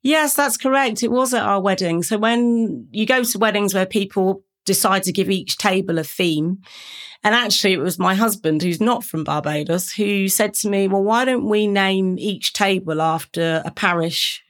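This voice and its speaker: A woman's voice: medium pitch, moderate pace. A woman from Reading with a British English accent, speaking reflectively as a podcast guest, often restarting her phrases. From England, Reading. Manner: warm, reflective, with frequent restarts and 'you know'. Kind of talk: conversational podcast interview.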